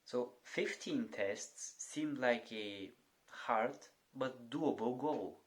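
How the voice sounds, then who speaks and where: Slow, earnest young man. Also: mid-range voice. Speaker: vlogger and coach. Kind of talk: personal motivational monologue.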